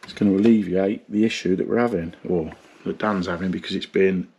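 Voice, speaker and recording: Tradesman, forties, low voice, blunt and fed up, narrating his own job on camera with faint background noise. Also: steady tone, brisk, dark tone. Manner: frank, swearing, stressed and rambling. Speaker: plumber vlogger. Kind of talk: casual vlog with job commentary. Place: parked van, then a small bathroom.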